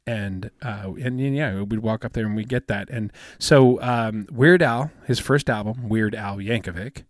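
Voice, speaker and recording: A man in his fifties with a soothing, smooth voice, chatting casually as a podcast co-host and rambling with many fillers and restarts. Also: fairly low pitch, unhurried, no echo, studio quality. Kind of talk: casual chat.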